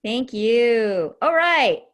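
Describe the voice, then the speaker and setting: A woman's voice: a little high, lively intonation, very slow. A woman hosting a student event on a video call, speaking casually and encouragingly with effusive, gushing praise. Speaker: host of a student symposium session.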